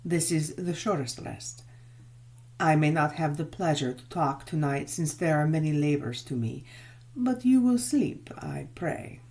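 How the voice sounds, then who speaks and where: A woman's voice: sits low, expressive, a little slow, a little mellow. A woman voicing a courteous, commanding old vampire nobleman with formal, emphatic speech in an audiobook.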